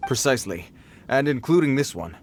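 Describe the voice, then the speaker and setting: A man's voice: mid-range voice, animated, brisk, natural voice. A man playing an earnest, awkward patrolman in a video game, speaking formally and precisely, slightly flustered. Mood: stern warning.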